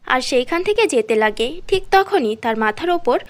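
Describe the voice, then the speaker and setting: A woman's voice: high voice, lively intonation, moderate pace. A woman narrating a cartoon fairy tale as a storyteller from a script.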